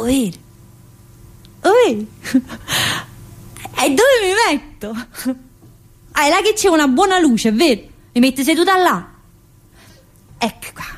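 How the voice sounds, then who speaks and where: Woman acting mouthy middle-aged jailbird lady, southern italian accent, highish voice, chatty and teasing, theatre monologue. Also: full character voice. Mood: teasing, coy. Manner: chatty, confrontational, with frequent interjections and questions. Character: brash, teasing, restless middle-aged female prison inmate. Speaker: stage actress performing a monologue.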